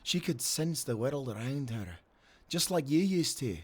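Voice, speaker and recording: A man plays a seasoned old sailor in a cinematic audio adventure, with a middle-pitched voice. He is devoted and nostalgic, an earnest storyteller with salty, old-fashioned phrasing. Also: animated, a little slow, a little mellow, slightly rough. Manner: earnest storytelling, archaic nautical turns of phrase. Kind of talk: cinematic audio drama acting.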